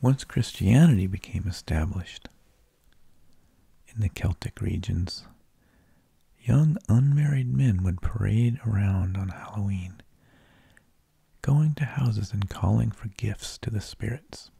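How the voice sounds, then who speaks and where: Breathy, creaky whispering ASMR man. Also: lowish voice, lively intonation, no room sound. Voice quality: breathy, mostly unvoiced whisper with gravelly creak. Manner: hushed, unhurried, drawn-out phrasing with pauses. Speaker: ASMR host. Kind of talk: whispered ASMR, explanatory narration. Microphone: close microphone.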